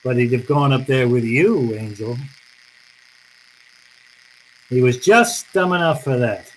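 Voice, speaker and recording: A man's voice: mid-range voice, expressive, normal speed, slight background noise, natural voice, rough recording. A man playing a cynical, unyielding private detective in an old-time radio drama, with a terse, tough, accusing manner. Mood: accusing, hard.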